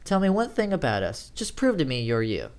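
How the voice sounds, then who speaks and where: A man's voice: mid-range voice, wildly swooping pitch, a little fast, clear, resonant tone. Nervous, paranoid man, acted by a reader. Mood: uneasy, joking. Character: paranoid, lonely male programmer, awkward and embarrassed. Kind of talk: horror story character dialogue.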